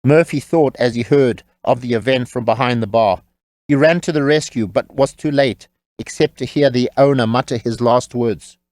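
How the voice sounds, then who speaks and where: Guy reading an audiobook, medium pitch, wry n measured, super dry studio sound. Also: darkish tone, no echo. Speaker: narrator. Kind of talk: scripted audiobook storytelling.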